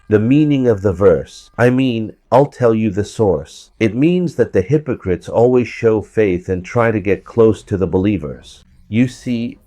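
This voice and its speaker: A man with a hoarse voice from a cold, giving verse-by-verse scripture commentary and repeating points for emphasis. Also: fairly low pitch, warm tone, no room sound. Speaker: religious commentator.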